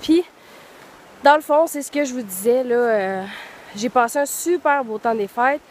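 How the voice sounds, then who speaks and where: A woman's voice: fairly high pitch, lively intonation, moderate pace, a little hiss or hum. Congested Québécoise woman walking in snow. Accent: Quebec French. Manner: rambling, many fillers. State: congested with flu, hoarse; out of breath from walking. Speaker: vlogger. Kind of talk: casual vlog chat while walking outdoors. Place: snowy woods outdoors in deep cold.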